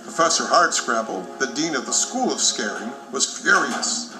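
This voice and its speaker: A man reading scripted children's story narration with expressive, clearly phrased storytelling. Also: mid-range voice, normal speed, low-quality recording. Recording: music behind the voice.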